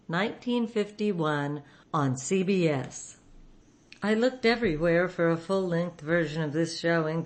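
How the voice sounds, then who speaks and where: A woman hosting a radio show, reading a scripted introduction. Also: fairly low pitch.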